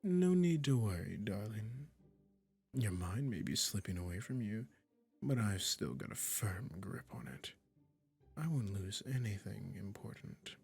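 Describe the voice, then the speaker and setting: A man's voice: on the low side, lively intonation, unhurried, darkish tone, faint music behind, exaggerated voice. A man playing a smug, possessive, doting male witch in an intimate roleplay audio, cooing condescendingly. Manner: condescending, cooing, breathy endearments. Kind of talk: intimate ASMR roleplay acting.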